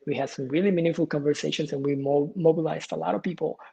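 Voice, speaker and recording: A man speaking as a guest organizer in a casual interview, halting and searching for his words, on slightly muffled audio. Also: fairly high pitch, fairly even intonation, creaky, mellow, slightly rough. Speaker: guest, political organizer and advisor.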